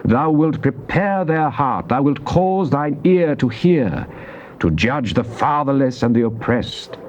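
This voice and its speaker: A British actor playing a fervent psalmist in a dramatized King James Bible reading, declaiming solemnly and deliberately. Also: mid-range voice, expressive, darkish tone. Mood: indignant, pleading. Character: psalmist, fervent man praying and praising God. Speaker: scripture reader. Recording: dull, noisy cassette tape transfer.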